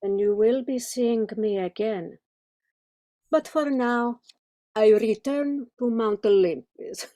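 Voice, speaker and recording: A grave Greek muse, acted by a woman for a radio play. She is an immortal with work to do, weary and unhurried, and her voice sits fairly high. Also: dark tone. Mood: mysterious.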